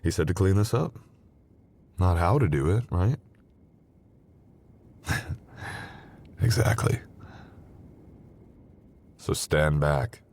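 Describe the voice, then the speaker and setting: Man playing a very deep, roguish sorcerer boyfriend. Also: lively intonation, slow pace, pauses often, a little mellow, heavily acted. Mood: playful. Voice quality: very deep, gravelly.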